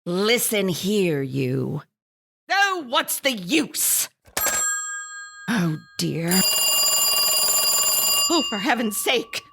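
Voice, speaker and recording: A woman's voice: medium pitch, very animated, very slow, vocal fry, long pauses, heavy background noise, heavily acted. A woman playing a frantic, demanding, nervous wife in a melodramatic radio thriller, imperious and theatrical. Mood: indignant, imperious, then frantic, exasperated. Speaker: actor in a volunteer radio drama.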